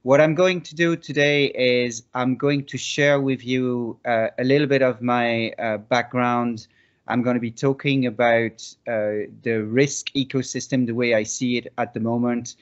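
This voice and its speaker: A man's voice: medium pitch, steady tone, measured pace. A man in his forties with a French accent, giving a conference talk over a video call, hesitant with many restarts. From France. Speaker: conference speaker. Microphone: video call laptop mic. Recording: dull lo-fi video call audio.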